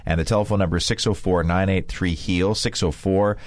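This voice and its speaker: A man's voice: low voice, steady tone, a little fast, no room sound. A man hosting a radio health show, recapping guests and reading plugs with many fillers. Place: radio studio.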